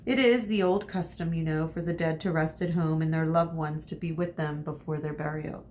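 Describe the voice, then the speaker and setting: A storybook fisherman uncle, genial and measured voiced by a woman reading aloud. The voice sits a little low and stays close to plain reading. Faint noise and rumble run underneath. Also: fairly even intonation, darkish tone, natural voice. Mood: gentle, grave. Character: genial Danish fisherman uncle, teasing yet grave.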